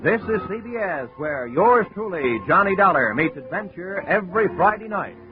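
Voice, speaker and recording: A man's voice: high-pitched, sing-song, moderate pace. An American man working as a network radio announcer, with polished, emphatic 1950s delivery. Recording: muffled 1950 radio recording, music behind.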